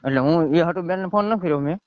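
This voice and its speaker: A man's voice: fairly high pitch, fast talker. A man in his thirties with a Saurashtra Gujarati accent, calling on the phone and arguing in a defensive, insistent way. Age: 30s. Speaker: caller. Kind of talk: argumentative phone conversation. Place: phone call. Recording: muffled phone call recording.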